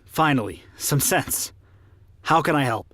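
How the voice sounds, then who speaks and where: A man's voice: mid-range voice, lively intonation, unhurried, a bit bright, no room sound, studio quality. A young man with an American accent acting a skeptical but loyal college friend in a radio drama, wry and exasperated. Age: young adult.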